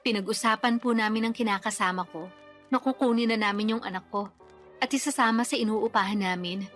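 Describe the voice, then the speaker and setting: A woman's voice: a little high, fairly quick, a bit bright, faint music behind, lightly acted. A young woman playing a daughter and young mother in a radio drama, polite, earnest and pleading. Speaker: daughter character.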